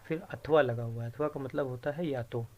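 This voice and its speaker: A man's voice: medium pitch, fairly quick, a little mellow. A man presenting an informal screen-share explainer, explaining patiently by repeating and rephrasing key points. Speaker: YouTube presenter explaining recruitment rules.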